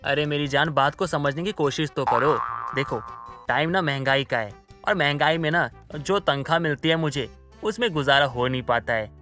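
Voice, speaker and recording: A man playing a placating, smooth-talking young husband in a story drama. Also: fairly high pitch, moderate pace, pushed and bright, faint music behind. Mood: coaxing. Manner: coaxing, placating. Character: smooth-talking, two-timing young husband full of excuses. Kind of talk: Hindi moral-story audio drama acting.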